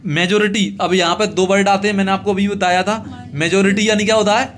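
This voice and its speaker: High-pitched male teacher, north-central Indian accent. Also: flat delivery. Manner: repetitive, asks questions and answers them himself; slow, deliberate reading of English phrases, translated piece by piece. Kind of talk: classroom English lesson explained in Hindi.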